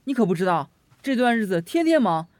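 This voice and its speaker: Man acting a bubbly, high-voiced, eager young man. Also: sing-song, a little fast, a little mellow, no echo. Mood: cheerful. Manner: exuberant, chatty. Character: bubbly, eager younger male friend, chatty and affectionate. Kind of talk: Chinese audio drama acting.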